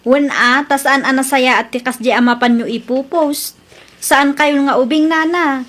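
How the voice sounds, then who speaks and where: A woman's voice: sits high, steady tone, moderate pace, a bit bright. A woman with an Ilocano accent playing a gossipy, opinionated neighbour who chides and advises in a comedy radio drama. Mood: chiding.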